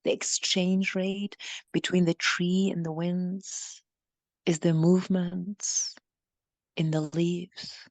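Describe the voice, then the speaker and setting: Slow, creaky-voiced woman guiding a meditation. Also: deep voice, no room sound. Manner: halting, deliberate phrasing with repeated lines. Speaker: meditation guide speaking as a channeled ancient money healer. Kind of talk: guided meditation, channeling monologue.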